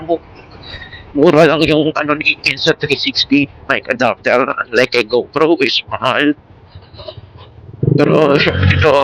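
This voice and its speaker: A man with a Filipino accent chatting casually in a rambling, informal vlog, mixing in English words, speaking into a Bluetooth intercom headset. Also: a little high. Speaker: motovlogger. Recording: Bluetooth intercom mic with vehicle noise.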